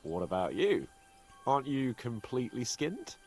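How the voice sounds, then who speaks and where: An actor playing a boastful, weary young nobleman in a game, with an animated, theatrical delivery. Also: medium pitch, moderate pace, low-quality recording. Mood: jovial. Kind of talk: game voice acting. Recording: game audio under faint music.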